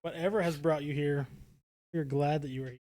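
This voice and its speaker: A woman's voice: low voice, steady tone, a little fast, dark tone, no room sound. A woman co-hosting a podcast in a casual livestream chat style. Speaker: podcast co-host.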